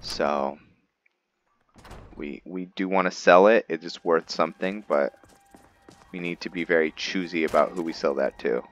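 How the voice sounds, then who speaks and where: A man giving unscripted roleplay commentary over a video game, halting and deliberate, with long pauses. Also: deep voice, muffled recording. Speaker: gamer hosting a roleplay playthrough.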